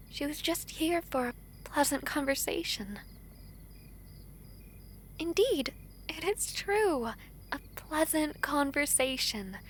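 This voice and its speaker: A woman playing a gentle, earnest young princess in a romantic fantasy audio drama, speaking softly and formally. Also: high-pitched, a little hiss or hum, full character voice. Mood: reassuring. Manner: soft, formal, measured diction with tender pauses.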